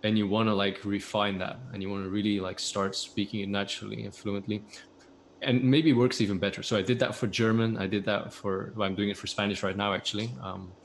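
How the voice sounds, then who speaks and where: A man's voice: fairly low pitch, quick pace, a little hiss or hum. A man whose first language is Dutch, talking casually as an interview guest and often saying "like". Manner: relaxed, uses "like" often.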